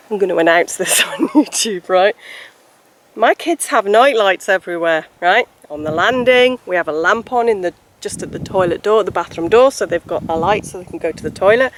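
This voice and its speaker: A woman's voice: medium pitch, expressive, noisy background. A chatty woman from Scotland with a mixed British accent, not a Scottish one, who laughs easily in casual vlog talk. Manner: chatty and giggly, quick to laugh. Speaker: vlogger. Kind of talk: casual chat.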